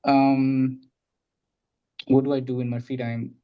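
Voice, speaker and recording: A man's voice: mid-range voice, flat delivery, slow pace, smooth, highs cut off. A man in his thirties giving rambling, casual answers as a dating show contestant. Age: 30s.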